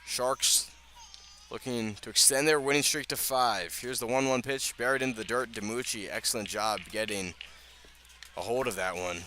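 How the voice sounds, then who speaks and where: A man doing live radio baseball play-by-play, speaking in measured short phrases with pauses between them. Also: mid-range voice, sharp tone, a little hiss or hum. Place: outdoors at a ballpark.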